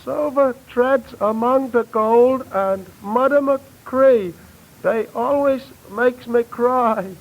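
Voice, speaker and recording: An actor playing a dim, mawkish racketeer acting innocent in halting, ungrammatical New tough-guy talk, in 1930s radio comedy style. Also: very high-pitched, creaky, phone-quality sound, full character voice. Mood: mock innocent. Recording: thin, band-limited old radio recording.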